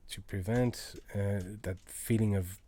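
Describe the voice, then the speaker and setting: A man with a deep voice talks slowly through a casual computer tutorial. He is hesitant, stopping often to think out loud as he works on a livestream. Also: fairly even intonation. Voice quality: low voice. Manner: hesitant, halting, thinking aloud. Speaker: live streamer making tracker music. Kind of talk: casual tutorial chat while working. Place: indoors at a computer.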